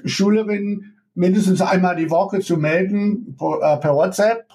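A middle-aged man from the United States with an American accent, a ballet teacher talking as an interview guest in halting German full of pauses and small laughs. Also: sits high, fairly even intonation, darkish tone. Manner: halting German with many ums and frequent laughs. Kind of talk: casual interview over remote connection.

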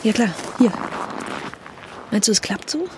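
An eager young female activist with a high, very animated voice. She is colloquial and expressive, a loyal sidekick in a naturalistic audio drama, with clear sound behind her. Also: unhurried. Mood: tense, hushed excitement. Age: young adult. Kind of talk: naturalistic fiction podcast acting. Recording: clear background noise or music.

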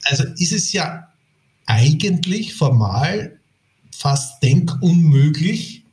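A man interviewing a guest, asking measured questions with fillers. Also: on the high side, big pitch swings, a little mellow, poor audio.

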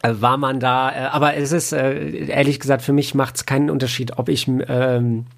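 A man's voice: mid-range voice, flat delivery, moderate pace, vocal fry. A man answering casually as an interview guest, hesitant and often searching for his words. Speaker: interview guest, audio drama producer and author.

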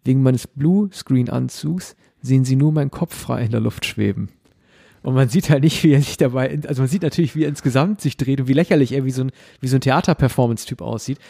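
A man co-hosting a podcast, chatting casually and explaining things at length in a relaxed way. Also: mid-range voice, quick pace, vocal fry. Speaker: podcast co-host. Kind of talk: casual chat.

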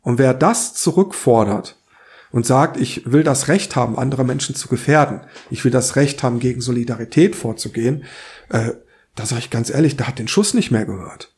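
A man with a rough, hoarse voice from a cold, co-hosting a podcast and giving his opinions in an earnest, emphatic way. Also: medium pitch, a little mellow. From Saarland, Germany. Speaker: podcast co-host. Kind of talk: opinionated political podcast talk.

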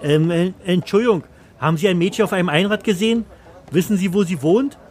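A man's voice: high-pitched, brisk, darkish tone, pitched above the performer's own voice, lightly acted. A man playing a dull, anxious bookkeeper in an audio story, earnest and insistent with a hesitant delivery. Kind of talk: first-person audio story.

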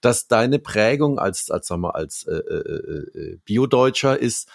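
A man's voice: fairly low pitch, animated, measured pace, creaky, no room sound, clean studio sound. A middle-aged man co-hosting a casual talk show, speaking hesitantly with many fillers and restarts. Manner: hesitant, many fillers and restarts, drops to muttering when frustrated. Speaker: podcast co-host. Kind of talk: casual conversation.

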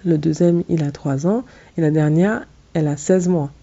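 A woman in her thirties talks straight to her listeners in a very dark, mellow voice. She is earnest and direct, often restarting her sentences. The sound is a little dull and lo-fi. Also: sits low, normal speed. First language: English. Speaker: podcast host and English coach. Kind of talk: solo podcast monologue giving advice.

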